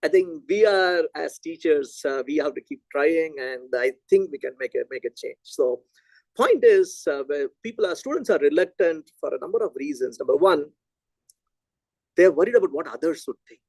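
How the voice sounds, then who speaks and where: A middle-aged man from India, a Telugu native, giving a physics lecture in an animated, conversational way and thinking aloud. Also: a little high, moderate pace, no echo, poor audio.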